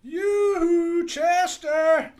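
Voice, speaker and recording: An actor playing a sullen, taunting American outlaw in a jail cell, in an Old West radio play. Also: male voice, very high voice, fairly even intonation, extremely slow, darkish tone, heavily acted. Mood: mocking, calling out. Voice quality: gravelly, creaky. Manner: drawling, taunting, insolent. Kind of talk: old-time western radio play acting.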